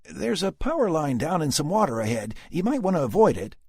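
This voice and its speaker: A man voicing an earnest young man who speaks quickly and helpfully. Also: a little high, big pitch swings, a little mellow, husky, no room sound, clean studio sound, voice pushed up, exaggerated voice.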